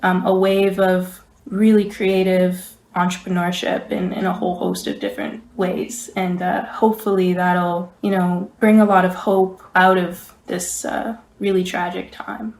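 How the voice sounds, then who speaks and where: A woman's voice: fairly low pitch, level tone, a little slow, hardly any pauses, darkish tone. A young woman speaking thoughtfully as a guest in a podcast discussion. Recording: roomy, echoey voice pickup.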